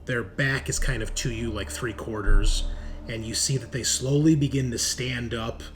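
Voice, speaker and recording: A man running a tabletop roleplaying game as game master, narrating in a halting, descriptive way over an online call. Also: medium pitch, fairly even intonation, soft background music. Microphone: online group call. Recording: clear background noise.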